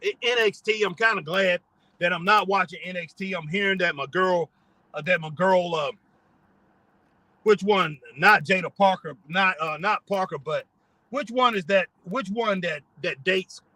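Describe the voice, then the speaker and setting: Bright, high-voiced chatty man. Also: normal speed, dry recording. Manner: rambling, chatty, reads viewer comments aloud. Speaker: live stream host. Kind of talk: casual live-stream chat. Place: in his car.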